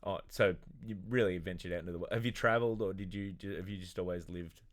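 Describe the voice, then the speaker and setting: A deep-voiced man in his forties hosts a relaxed studio podcast. He prods his guest with quick, curious questions and a dry teasing edge, speaking close to a studio mic. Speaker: podcast host. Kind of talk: casual interview chat. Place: dark studio set, seated in armchair. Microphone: studio condenser mic on stand.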